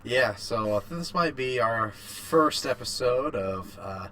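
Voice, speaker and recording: A man co-hosting a podcast in casual chat, rambling and haltingly repeating his phrases. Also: medium pitch, expressive, bright, forceful tone. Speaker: podcast co-host. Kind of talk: casual chat about video games.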